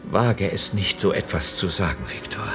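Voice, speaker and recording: Deep-voiced, kindly old father. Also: normal speed. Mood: soothing, insistent. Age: older. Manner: gentle, soothing, earnest. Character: kindly, worried elderly father at sickbed. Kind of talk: radio drama acting. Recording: radio play with music underneath.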